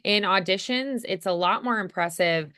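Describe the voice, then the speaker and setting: A woman with a bright, crisp, smooth voice at an average pitch. She coaches acting casually, offering effusive encouragement in a conversational way. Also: moderate pace.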